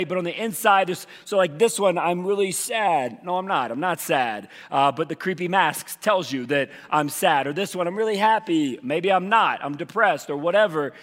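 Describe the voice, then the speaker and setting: A man preaching as lead pastor, hoarse from losing his voice, talking casually with many fillers. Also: high voice, expressive, moderate pace, hardly any pauses, a bit bright, long reverb tail. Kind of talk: sermon with announcements. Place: church auditorium.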